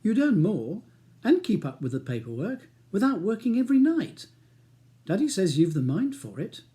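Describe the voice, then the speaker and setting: A man's voice: high-pitched, big pitch swings, moderate pace, dark tone, pitched above the performer's own voice. A man reading an audiobook, voicing a cold, scornful upper-class wife who speaks in a beautifully cutting way. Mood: critical. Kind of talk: audiobook character dialogue.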